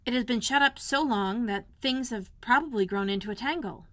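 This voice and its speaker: Woman voicing an eager young storybook girl, pitched up. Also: a little fast, pushed and bright. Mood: dreamy. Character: eager, bossy ten-year-old orphan girl, full of wonder.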